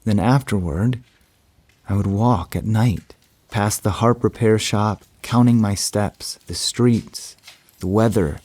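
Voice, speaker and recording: An actor playing a haunted man who confides a dark secret in a halting, deliberate horror monologue, with repeated broken phrases. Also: fairly low pitch. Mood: brooding, wistful. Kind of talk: slow, scripted dramatic monologue for an audio horror drama. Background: music.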